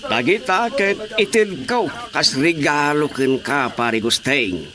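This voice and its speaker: A man playing a folksy town mayor making speeches in a comedic radio drama, deliberate, with an Ilocano Filipino accent. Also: a little high, animated. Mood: jovial, boastful. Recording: crowd and animal noises behind.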